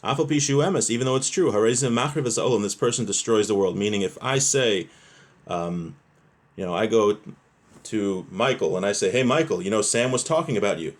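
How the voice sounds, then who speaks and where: Low-voiced man teaching religious law. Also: animated, a little fast. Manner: explanatory, uses Hebrew terms and phrases. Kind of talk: informal lesson, reading a text aloud and explaining.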